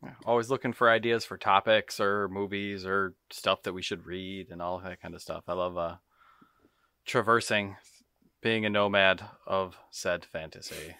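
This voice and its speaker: A man's voice: low voice, steady tone, normal speed, bright, forceful tone, smooth, no room sound, studio quality. A man co-hosting a podcast in casual, playful, joking chat. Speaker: podcast co-host.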